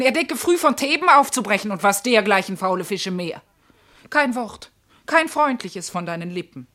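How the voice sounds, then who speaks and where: A woman playing a sharp-tongued, scorned servant wife in a German classical verse radio drama, with emphatic theatrical delivery. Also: on the high side. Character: sharp-tongued, scorned servant wife, scolding yet devoted to her mistress.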